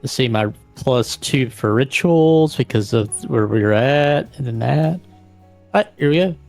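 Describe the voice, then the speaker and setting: A man's voice: medium pitch, animated, unhurried, creaky, darkish tone, faint music behind. A man playing a tabletop vampire game, narrating his character's actions casually and often repeating words as he goes.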